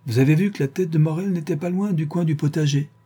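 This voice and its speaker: A man voicing a stern, sharp-witted middle-aged woman who questions two servants and accuses one of them. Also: fairly high pitch, quick pace, husky, pitched above the performer's own voice. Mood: probing. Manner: cold, probing, relentlessly accusing.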